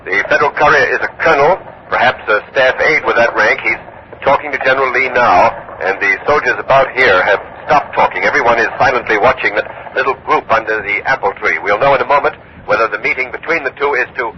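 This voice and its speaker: An actor playing an on-the-scene radio news correspondent, clipped, urgent and polite, in old live-news style. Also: male voice, mid-range voice, quick pace, a crowd in the background, natural voice. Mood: hushed, watchful. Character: urgent on-the-scene male radio reporter at a battlefield. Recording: muffled, noisy old radio recording.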